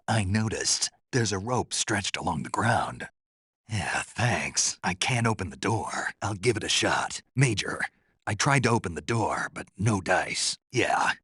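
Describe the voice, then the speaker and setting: A man playing a gruff, terse American soldier on a covert mission in a video game, with a low, gravelly, husky voice. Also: a bit bright, no echo, heavily acted. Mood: businesslike. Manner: terse, deliberate, dry. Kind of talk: dramatic game voice acting.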